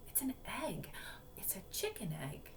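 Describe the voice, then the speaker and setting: A woman's voice: mid-range voice, huge pitch swings, a little slow, creaky, a little hiss or hum, full character voice. A woman voicing a confident, self-satisfied female frog who knows everything, making assertive pronouncements in a storybook read-aloud. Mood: knowing.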